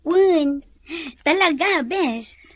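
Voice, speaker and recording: A woman's voice: high voice, lively intonation, very slow, no room sound, full character voice. A woman playing an agreeable, chatty young best friend in an Ilocano audio drama, with an Ilocano accent.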